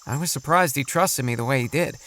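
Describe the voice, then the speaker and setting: A man's voice: medium pitch, fast talker, sharp tone, natural voice. A man playing an earnest, thoughtful middle-aged father with a hesitant, explanatory manner in a children's audio drama. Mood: pensive.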